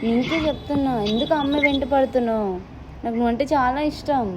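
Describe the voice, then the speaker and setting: A woman's voice: sits high, steady tone, fast. A woman chatting flirtatiously and insistently on a call, pressing her point as a devoted fan. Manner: pushy, insistent. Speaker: prank caller posing as a fan. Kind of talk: flirtatious phone chat. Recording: very noisy recording.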